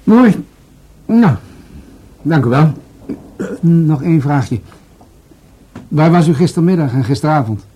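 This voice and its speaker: An actor playing a courteous older police commissioner in a radio play, polite, hesitant and a little old-fashioned. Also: male voice, a little high, lively intonation, a little slow, long pauses, mellow, breathy edge. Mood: businesslike.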